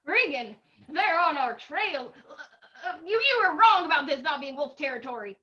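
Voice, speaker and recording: Lady playing nervous folksy prospector guy, high bright voice, radio play, kinda lofi. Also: big pitch swings, moderate pace, lo-fi sound, full character voice. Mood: alarmed. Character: folksy, trusting man, frightened prospector passenger. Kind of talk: old-time radio drama acting.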